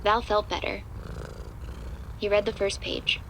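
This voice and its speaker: A woman's voice: medium pitch, level tone, measured pace, bright, forceful tone, slight background noise. A woman reading scripted third-person narration for a horror audio drama.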